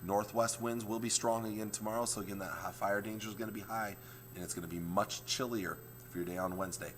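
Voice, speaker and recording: Hoarse male TV meteorologist, very deep voice, faint hum behind. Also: steady tone, quick pace. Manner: explains maps step by step, repeats points. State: hoarse, losing his voice. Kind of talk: morning weather forecast.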